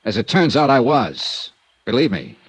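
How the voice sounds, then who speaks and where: A man's voice: mid-range voice, big pitch swings, moderate pace, loud music behind the voice, lightly acted. A man playing a hard-boiled male insurance investigator in a 1950s radio drama, with clipped, dry tough-guy delivery, narrating and questioning. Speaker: lead actor and narrator.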